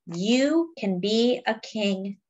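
A woman reading a picture book aloud to young children in a deliberate, teacherly way, adding simple explanations as she goes. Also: medium pitch, expressive, darkish tone, lo-fi sound. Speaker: children's read-aloud storyteller.

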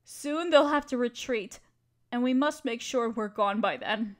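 Casting: a woman to play a spider queen for audio roleplay. High-pitched female voice, gentle and motherly, anxious, pleading, carefully spoken. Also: a little slow, close to an everyday voice. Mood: grave, regal. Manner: tender, pleading, careful phrasing. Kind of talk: scripted audio roleplay addressed to listener.

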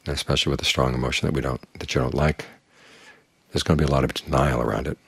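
An older man, a Buddhist monk, giving a calm, plain-spoken evening dharma talk that leans on homely images. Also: extremely low pitch, very fast, gravelly, husky, no echo.

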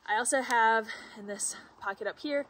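A young woman talks through her hiking gear in a relaxed, chatty way, dropping "like" and "um" as she goes. She sits outside in a forest clearing, recorded from a camera some distance away. A faint hiss of outdoor noise runs under her voice. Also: a little high, normal speed. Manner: chatty, with frequent "like" and "um" fillers. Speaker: hiker and vlogger. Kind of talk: casual gear walkthrough.

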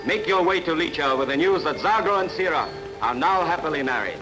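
A man's voice: on the high side, expressive, brisk, close to an everyday voice, low-quality recording. A man playing a royal herald, making a ceremonial proclamation in a film dub. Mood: proclaiming. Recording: loud music over old film soundtrack.

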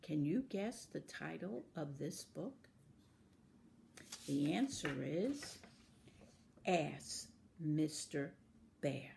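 Older lady in her 60s reading a quiz question, lowish voice, really unhurried. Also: animated, creaky, darkish tone, a little hiss or hum. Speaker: librarian posing a trivia question. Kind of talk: scripted quiz presentation. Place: small indoor room at home.

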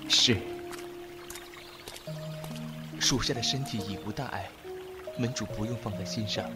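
A man acting a devoted, submissive subordinate in an ancient Chinese audio drama, speaking in a halting, emotional way. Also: on the low side, music in the background, lightly acted. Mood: subdued, resigned.

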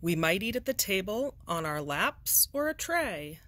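A woman reading a children's picture book aloud with deliberate, expressive, measured phrasing. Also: mid-range voice, noisy background. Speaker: storybook reader.

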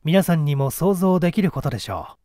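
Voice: mid-range voice, animated, a little fast, dark tone, no echo, studio quality. An audiobook narrator reading a story theatrically, addressing listeners in a formal storyteller manner.

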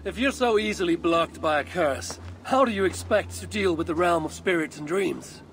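A woman's voice: lowish voice, moderate pace, slight background noise, natural voice. An actress playing a blunt, suspicious and proud Viking warrior woman in a video game. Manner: blunt, curt, challenging. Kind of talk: video game cutscene acting. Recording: game audio with faint music. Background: game soundtrack music.

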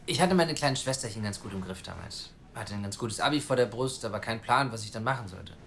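An actor playing an earnest, self-assured journalist, articulate and persuasive, in a naturalistic audio drama. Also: male voice, fairly low pitch, lively intonation, fast, a bit bright, a little hiss or hum. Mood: candid.

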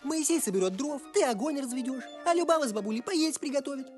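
Really high whiny know-it-all cartoon horse, lively, bit of music behind. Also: male voice, fast talker. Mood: bossy. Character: cheeky, self-important, chatty talking horse. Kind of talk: animated film voice acting.

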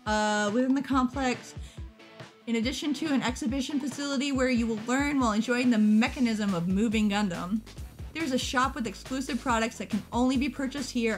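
Young woman, fairly high voice, excitable rambling chat, tired, faint music under it. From United States. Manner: excitable, rambling, self-interrupting, laughs often. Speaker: model kit vlogger. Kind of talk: casual chat over a webpage.

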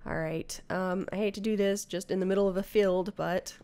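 A woman in her thirties on a headset mic, chatting casually as she plays a game, muttering to herself and scolding the creatures on screen, her voice going hoarse. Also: medium pitch, fairly even intonation, normal speed, warm tone, slight background noise. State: losing her voice, coughing. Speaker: gamer. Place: home room with bookshelves.

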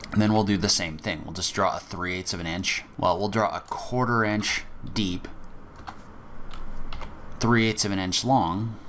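A man with a very low voice talks through a software tutorial one step at a time. His delivery is methodical and casual, with the odd filler. Faint keyboard typing sits in the background. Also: slight background noise. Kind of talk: screen-recorded software walkthrough.

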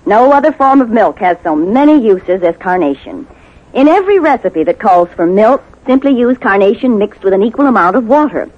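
An American woman delivering a scripted 1950s radio commercial as a spokeswoman. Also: mid-range voice. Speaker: advertising spokeswoman. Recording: muffled 1950s radio recording.

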